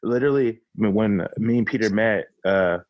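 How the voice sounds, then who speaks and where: A man's voice: on the low side, normal speed, lo-fi sound, a little hiss or hum. A young man in casual chat, rambling through stories, filling them with "like" and "literally".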